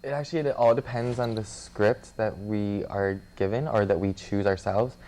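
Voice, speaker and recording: A man's voice: fairly low pitch, normal speed, warm tone, a little hiss or hum. A young man, a student theater director and actor, chatting casually as an interview guest. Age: young adult.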